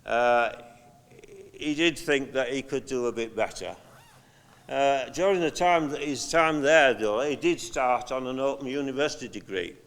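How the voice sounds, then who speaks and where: An older man giving a halting, conversational memorial eulogy, telling anecdotes from notes with frequent pauses. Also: medium pitch, a bit bright, clear, resonant tone. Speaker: eulogy speaker, friend of the deceased. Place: large hall at a memorial service. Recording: long hall reverb.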